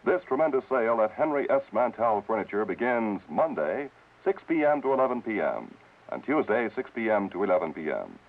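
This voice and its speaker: American guy reading old radio store ad, really animated, tinny phone-like sound. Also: lowish voice, fairly quick. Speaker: commercial announcer. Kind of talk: 1950s radio advertising pitch. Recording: tinny, narrow-band old radio broadcast.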